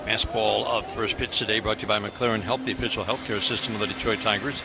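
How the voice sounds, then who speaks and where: A man's voice: fairly low pitch, fairly even intonation, fast talker, over music. An American man calling a baseball game live on the radio as the play-by-play announcer. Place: outdoor ballpark broadcast booth. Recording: outdoor stadium ambience, clear background noise. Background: stadium ambience noise.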